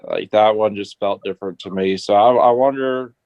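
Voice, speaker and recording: A man chatting on a radio panel in a halting, unsure way, on muffled audio. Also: no room sound. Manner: hesitant, halting. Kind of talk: casual discussion. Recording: muffled, dry low-fidelity call audio.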